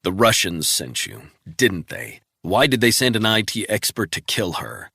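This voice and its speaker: A man's voice: fairly low pitch, very animated, unhurried, creaky, bright, forceful tone, husky, dry recording, exaggerated voice. A man voicing a hard, grim male agent who speaks in terse, clipped lines, in a dry audiobook reading. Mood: cold.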